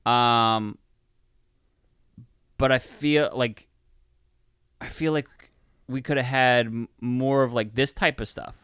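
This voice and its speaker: A man co-hosting a podcast, casually discussing films in a rambling, halting way. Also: medium pitch, steady tone, a bit bright, clear, resonant tone, no room sound, clean studio sound. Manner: rambling, halting, heavy use of "like". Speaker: podcast co-host. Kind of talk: casual film review chat.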